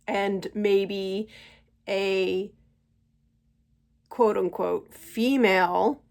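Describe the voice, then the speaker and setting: Slow-spoken woman, stuffy from a cold. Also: mid-range voice, steady tone, creaky. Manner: gentle, unhurried, thoughtful pauses. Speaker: channel host. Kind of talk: slow reflective spiritual monologue. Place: at home.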